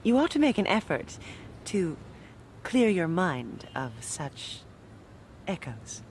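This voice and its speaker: A woman's voice: lowish voice, very animated, darkish tone, a little hiss or hum, exaggerated voice. Prim woman with swooping intonation. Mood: cool, warning. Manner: formal, measured, cool. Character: prim, guarded, coolly watchful handmaid. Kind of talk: audio drama dialogue.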